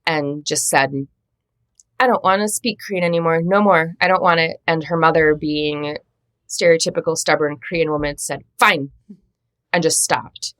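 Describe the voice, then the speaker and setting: Low-voiced woman, reflective. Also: steady tone, moderate pace, a bit bright, no echo. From United States. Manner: reflective, self-deprecating, laughs often, restarts phrases. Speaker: literary translator and editor, interview guest. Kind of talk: conversational interview answers.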